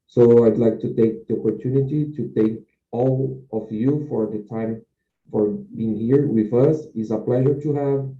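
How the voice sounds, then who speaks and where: A man organizing a seminar, giving halting opening remarks on a video call. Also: medium pitch, roomy sound, narrow, tinny audio. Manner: halting, searching for words. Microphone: laptop mic on a video call.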